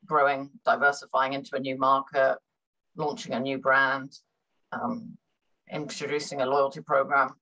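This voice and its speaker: A middle-aged woman from Hong Kong speaks in a low, deep voice. She talks through a remote call as an interview guest, and often stalls on fillers and repeated words while she gathers her thoughts. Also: level tone, moderate pace, bright, forceful tone, rough recording. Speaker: business consultant, guest. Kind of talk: conversational interview.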